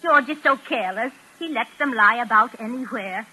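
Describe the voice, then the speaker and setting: An actress playing a brisk, proper, anxious Englishwoman in a 1940s radio thriller, earnest and prim. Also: a little high, lively intonation, bright, forceful tone, breathy edge, full character voice. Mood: brisk, polite. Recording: noisy vintage radio broadcast recording.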